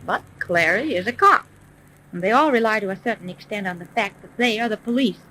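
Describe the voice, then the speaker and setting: An actress playing a shrewd, cautious woman private detective in a 1930s radio serial, commanding and deliberate. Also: mid-range voice, lively intonation, pushed and bright. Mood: shrewd, wary. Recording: noisy 1930s radio recording.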